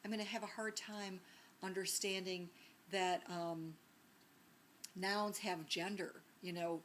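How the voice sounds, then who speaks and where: A woman's voice: medium pitch, fairly even intonation, slow pace, fairly bright tone, a little hiss or hum. A woman teacher giving a recorded lecture in a conversational way, with many ums and restarts and frequent rhetorical questions.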